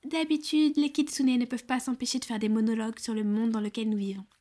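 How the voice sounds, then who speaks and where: A woman's voice: fairly high pitch, little pitch movement, fast talker, dark tone, natural voice. A woman playing a brusque, bossy female Cupid in an ASMR roleplay, curt and teasingly exasperated. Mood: impatient, mocking.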